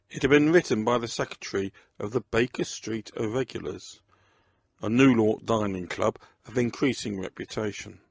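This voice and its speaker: A man's voice: on the low side, vocal fry, no room sound, clean studio sound. A man reading scripted first-person narration of a crime story.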